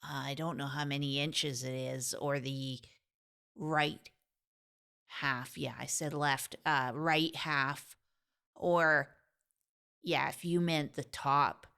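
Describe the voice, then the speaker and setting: A woman's voice: low-pitched, steady tone, slow, vocal fry, pauses often, fairly bright tone, clean tone, pitched below the performer's own voice, full character voice. Woman voicing a timid, fumbling male roommate. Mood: hesitant. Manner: hesitant, apologetic, full of ums.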